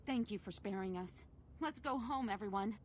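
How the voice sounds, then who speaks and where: A woman's voice: mid-range voice, moderate pace, a little hiss or hum, lightly acted. A woman playing a wary, level-headed civilian survivor in a video game, reasoning and pleading. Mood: cautious relief. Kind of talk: scripted video game acting.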